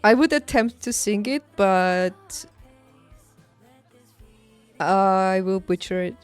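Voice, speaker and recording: A woman chats casually as she streams a game, with an average pitch for a female voice. She talks to her viewers directly and playfully teases them. Faint music plays behind her. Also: slow pace, clean tone, dry recording. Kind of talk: casual livestream chat with viewers.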